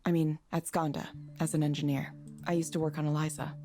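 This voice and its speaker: A woman's voice: sits low, level tone, brisk, over music, lightly acted. An actress playing a reserved, thoughtful woman engineer in a visual novel, with an understated, hesitant delivery. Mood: apologetic.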